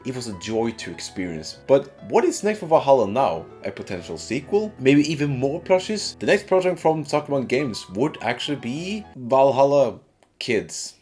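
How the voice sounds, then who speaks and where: A man giving a casual scripted video game review, informal and sweary with animated exclamations. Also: mid-range voice, normal speed, faint music behind.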